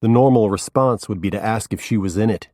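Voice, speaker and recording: A man's voice: lowish voice, a little fast, a little mellow, no echo, clean studio sound, close to an everyday voice. A man voicing a tired private detective who speaks in short, edgy lines. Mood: terse, impatient. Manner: clipped, edgy. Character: weary, terse male private detective.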